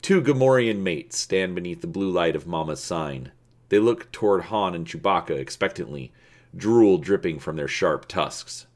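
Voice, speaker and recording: Man narrating with lively, swinging pitch. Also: normal speed. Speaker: video host and storybook narrator. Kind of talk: dramatic reading of a choose-your-own-adventure story.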